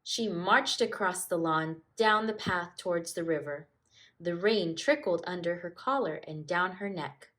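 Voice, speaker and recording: A woman teacher reading a children's storybook aloud with expressive narration. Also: lowish voice, measured pace.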